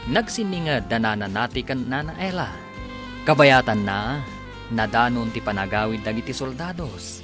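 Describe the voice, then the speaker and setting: A man's voice: medium pitch, animated, normal speed. A man narrating an Ilocano radio drama in a scripted, storytelling style. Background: music.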